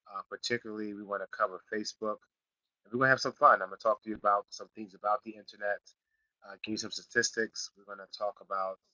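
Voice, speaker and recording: A middle-aged man from Philadelphia hosting a live online marketing class, slightly raspy from a sniffle, repeating himself and building to emphatic points. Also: lowish voice, bright, forceful tone, dry recording, muffled recording. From Philadelphia, Pennsylvania. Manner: repetitive, restarts phrases, builds to emphatic points. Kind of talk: live teleseminar class, casual coaching.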